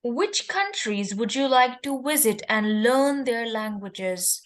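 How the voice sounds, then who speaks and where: Woman, exam interlocutor, high pitch, unhurried questions. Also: lively intonation. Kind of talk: mock speaking test.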